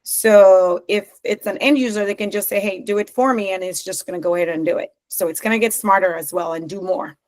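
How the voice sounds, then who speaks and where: Animated woman from Zambia. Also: mid-range voice, a little fast, few pauses. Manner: animated, laughs often, many restarts. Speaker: company co-founder. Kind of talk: podcast interview.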